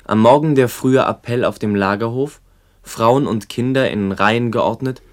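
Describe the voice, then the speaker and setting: A man's voice: medium pitch, moderate pace. A man giving a sober, descriptive reading of literary memories for a radio documentary. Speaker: narrator.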